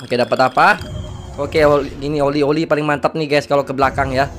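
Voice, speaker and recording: High-voiced young man, slightly hoarse. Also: quick pace, faint music behind. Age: young adult. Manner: excitable, slangy, exclamatory. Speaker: gaming video creator. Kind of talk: casual gameplay commentary.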